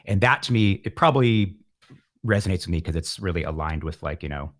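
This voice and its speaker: Male, middle-aged, low voice. Musician in interview, wry and philosophical, thinking out loud. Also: expressive, brisk. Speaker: musician, podcast guest. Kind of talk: reflective interview talk.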